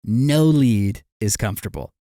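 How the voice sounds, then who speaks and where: A man co-hosting a casual podcast, telling stories in a wry, animated way. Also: medium pitch, measured pace, dry recording, clean studio sound. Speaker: podcast co-host. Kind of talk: casual chat.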